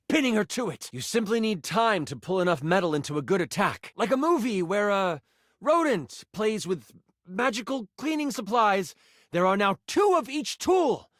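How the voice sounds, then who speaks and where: An actor playing a theatrical fantasy game master who narrates with dramatic storytelling flair. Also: male voice, high voice, a bit bright, no room sound, clean studio sound, heavily acted. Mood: intense, encouraging.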